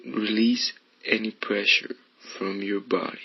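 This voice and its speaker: A man leading a guided meditation in a hushed, breathy voice that often slips toward a whisper. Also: fairly low pitch, little pitch movement, measured pace, no room sound, lo-fi sound. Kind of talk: guided meditation, soothing instruction.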